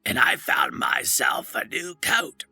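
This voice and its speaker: Scratchy, distorted, rasping ghoul. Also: male voice, a little high, huge pitch swings, bright, forceful tone, no room sound, soft background music, voice pushed up, full character voice. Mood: gravelly, deliberate. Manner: overly enunciated, drawn-out words. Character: ghoul, rotting irradiated wasteland mutant with drawn-out words. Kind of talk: video game character voice.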